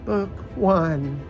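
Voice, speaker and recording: A woman announcing segment titles in short, drawn-out phrases, in a muffled lo-fi clip. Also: mid-range voice, darkish tone.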